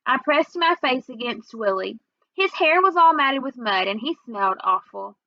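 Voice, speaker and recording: Female storybook reader, lightly high voice, narrating for children on a close, slightly muffled recording. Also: expressive, moderate pace, no room sound. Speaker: read-aloud reader.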